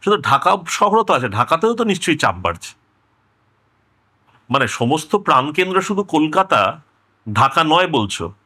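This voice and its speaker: Bright, higher-pitched male interviewer. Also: big pitch swings, normal speed, pauses often. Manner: persistent, rephrases questions and interjects. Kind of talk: conversational interviewing.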